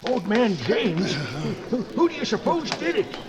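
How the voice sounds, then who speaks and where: A woman's voice: a little high, very animated, unhurried, over a noisy crowd. A woman playing a spiteful, folksy small-town gossip in old-time radio style. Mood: gossipy, spiteful. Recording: loud music behind.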